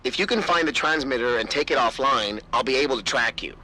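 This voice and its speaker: Game role: anxious, sarcastic male tech expert on comms; tense, rough-edged voice. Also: medium pitch, fairly quick, pushed and bright, poor audio. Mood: urgent, sardonic. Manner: exasperated, sardonic. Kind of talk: video game voice acting.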